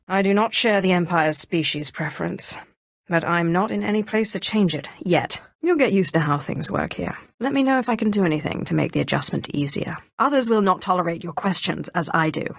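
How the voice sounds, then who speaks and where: A woman playing a proud, calculating Sith sorceress in a video game, speaking in a cool, measured, sardonic way. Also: lowish voice, creaky, no echo, studio quality. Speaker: video game player character. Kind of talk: scripted video game dialogue acting.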